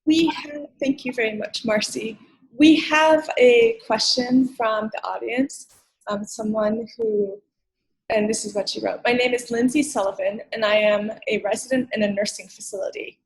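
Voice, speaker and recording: Higher-voiced, creaky woman moderating. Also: steady tone, normal speed, poor audio. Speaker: moderator of a question session. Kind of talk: reading out audience questions.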